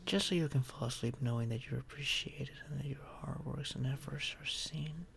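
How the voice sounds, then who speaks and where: A man playing a tender, protective boyfriend in a soft, soothing ASMR roleplay, often close to a whisper. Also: mid-range voice, moderate pace, creaky, slight background noise. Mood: soothing, affectionate. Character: tender, protective boyfriend soothing his overworked partner to sleep.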